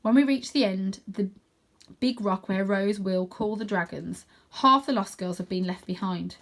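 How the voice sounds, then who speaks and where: A woman's voice: medium pitch, moderate pace. A woman reading a children's storybook aloud expressively, with chatty asides to her listeners. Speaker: reader of children's stories.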